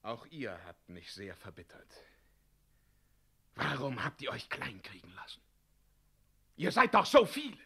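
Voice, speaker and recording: An actor playing a bitter, weary heroic knight in a classic German radio play, theatrical, moving between hushed and thundering delivery. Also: male voice, mid-range voice, wildly swooping pitch, unhurried, pauses often, breathy edge, full character voice. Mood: commanding, bitter.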